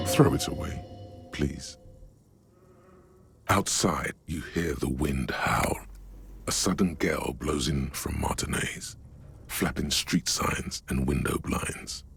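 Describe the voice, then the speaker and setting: A man acting as a brooding inner-voice narrator in a game, grave and intimate, with a husky, solemn delivery. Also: very deep voice, a bit bright, slight background noise, heavily acted. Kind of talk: scripted dramatic game narration. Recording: music under the voice.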